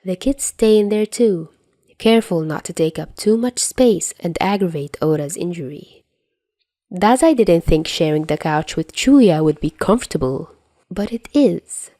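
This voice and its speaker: A woman reading fiction aloud as an expressive storyteller. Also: on the low side, unhurried, no room sound. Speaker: podfic narrator.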